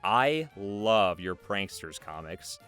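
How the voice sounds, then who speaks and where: A man's voice: lowish voice, animated, slow, a bit bright, clean tone. A man hosting a casual interview, asking questions and chatting. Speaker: interviewer, host. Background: video game music.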